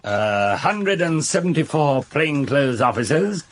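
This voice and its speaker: An actor playing a seasoned older police inspector in a radio drama, brisk, wry and matter-of-fact. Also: male voice, fairly high pitch, very animated, a little hiss or hum. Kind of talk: British radio drama acting.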